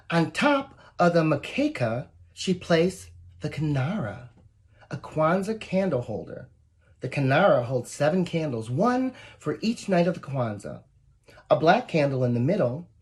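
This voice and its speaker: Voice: medium pitch, a little mellow. A volunteer reading a children's picture book aloud expressively, with asides that speak straight to young listeners. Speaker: volunteer storybook reader.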